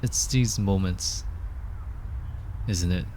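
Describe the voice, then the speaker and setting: A man's voice: deep voice, slow pace, dark tone, a little hiss or hum. A man playing an affectionate, playful boyfriend in a scripted ASMR roleplay, speaking with whimsical mock grandeur. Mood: playful, tender. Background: park ambience sound effects.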